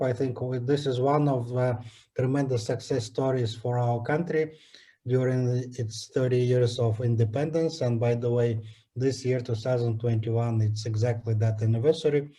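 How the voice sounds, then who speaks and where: A man in his forties from Kazakhstan with a Kazakhstani accent, answering interview questions in a measured, phrase-by-phrase way. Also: medium pitch, warm tone. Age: 40s. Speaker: interview guest, energy executive. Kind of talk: conversational interview answer, personal background.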